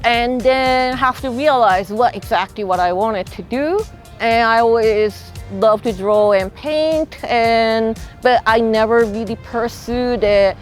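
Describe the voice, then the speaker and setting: A woman's voice: on the high side, takes it slowly. A middle-aged woman with a Japanese accent, a freelance illustrator speaking thoughtfully in an interview, often saying "you know" and "like". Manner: relaxed, thoughtful, frequent fillers like "you know" and "like". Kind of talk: interview-style talk to camera. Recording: soft music behind.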